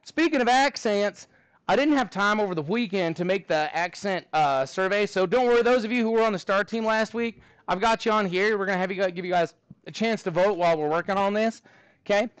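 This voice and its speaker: High-voiced Texan math teacher. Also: male voice, brisk, clean tone, no room sound, low-quality recording. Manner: chatty, joking asides to students. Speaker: middle school math teacher. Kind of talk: classroom teaching. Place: school classroom.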